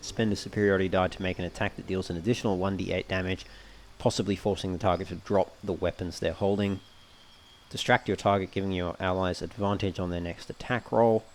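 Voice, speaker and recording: A man giving casual let's play commentary, thinking aloud in a measured, understated way and often reading game text out. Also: low-pitched, steady tone, a little hiss or hum. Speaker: gamer narrating a playthrough.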